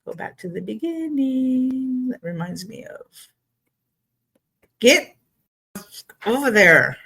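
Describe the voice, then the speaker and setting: A woman's voice: high voice, very animated, takes it slowly, gravelly, fairly bright tone, husky. A middle-aged woman hosts her own political video, ranting bluntly with lots of fillers and the odd curse word. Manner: exasperated, blunt, swears, many fillers. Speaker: political vlog host. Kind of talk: casual opinion rant.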